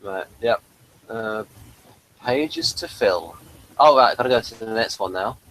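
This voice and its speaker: A man's voice: fairly low pitch, measured pace, fairly bright tone, slight background noise, low-quality recording. A man co-hosting a review, commenting and reacting in casual conversation. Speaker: co-host of a TV episode review.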